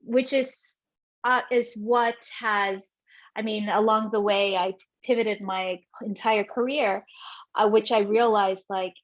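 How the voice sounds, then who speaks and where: A woman's voice: mid-range voice, steady tone, slow pace. A woman hosting a podcast, speaking encouragingly and laughing often as she asks questions. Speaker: podcast host. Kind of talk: conversational interview. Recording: dull lo-fi sound.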